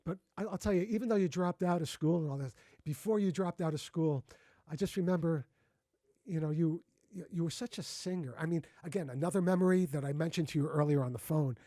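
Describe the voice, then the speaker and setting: Warm-voiced man in his sixties hosting. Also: on the high side, a little fast, no room sound, clean studio sound. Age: 60s. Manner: effusive and reminiscing, with frequent restarts. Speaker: host. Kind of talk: casual interview conversation.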